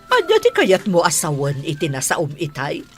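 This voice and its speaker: A woman's voice: on the low side, wildly swooping pitch, moderate pace, faint music behind. A woman with an Ilocano accent playing a suspicious, quarrelsome wife in a radio drama, confrontational and exclamatory. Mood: indignant. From Philippines.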